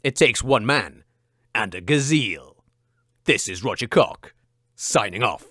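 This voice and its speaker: A man's voice: mid-range voice, expressive, slow, bright, forceful tone, no echo, clean studio sound, pitched below the performer's own voice, heavily acted. A man voicing a self-important heroic adventurer, narrating pompously in melodramatic radio serial style. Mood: grandiose. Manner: pompous, dramatic. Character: Roger Cock, self-important heroic male adventurer storyteller.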